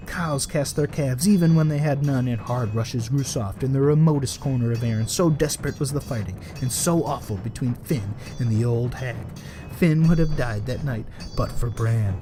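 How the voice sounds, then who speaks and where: A man reading a folktale aloud as a storyteller, in expressive storybook narration. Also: mid-range voice, a little hiss or hum. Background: added music.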